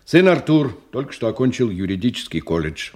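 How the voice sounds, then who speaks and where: A man in his fifties in a radio play, with a middle-pitched voice. He reports to his boss in stiff, official phrasing and slips into irritable, muttered asides. He is a testy subordinate. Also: very animated, fairly quick, darkish tone. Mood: businesslike. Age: 50s. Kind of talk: Russian radio drama acting.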